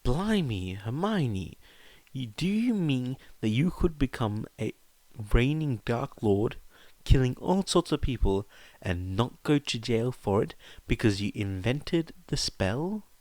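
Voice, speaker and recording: A man's voice: mid-range voice, sing-song, takes it slowly, darkish tone, no echo. A man voicing a brash, blunt and teasing teenage wizard boy in an audiobook story. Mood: astonished.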